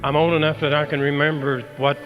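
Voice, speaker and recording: A man in his eighties with a rural American accent, recalling his past in a halting, grave way as a veteran. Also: a little high, little pitch movement, poor audio. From rural United States. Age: 80s. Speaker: war veteran. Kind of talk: personal reminiscence. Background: music.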